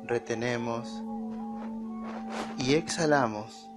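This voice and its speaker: Slow-paced man guiding meditation over music. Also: mid-range voice. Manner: deliberate, short phrases with pauses. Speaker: guided meditation leader. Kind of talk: slow guided meditation narration. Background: music behind the voice.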